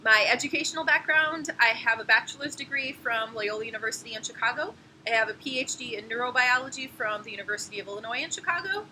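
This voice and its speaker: A woman's voice: fairly high pitch, quick pace, pushed and bright, slight background noise, low-quality recording. A woman, a professor explaining course logistics in a friendly, explanatory way. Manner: friendly, explanatory, laughs now and then. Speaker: anatomy and physiology professor. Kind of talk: course introduction video.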